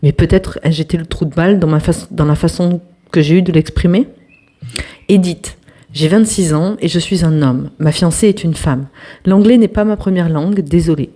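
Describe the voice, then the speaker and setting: A young woman hosts a storytelling channel, reading an online post aloud in a lowish, warm, mellow voice. Her reading is lively, now and then dropping to a hushed aside, and she talks directly to her viewers. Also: normal speed.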